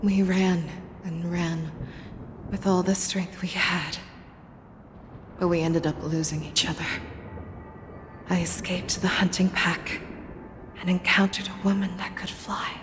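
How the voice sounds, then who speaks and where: An actress voicing a stern woman general in a game cutscene, firm in refusal and quietly reflective about her past. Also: lowish voice, steady tone, unhurried, pauses often, background noise, lightly acted. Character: stern woman general haunted by a brutal childhood. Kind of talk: video game cutscene voice acting.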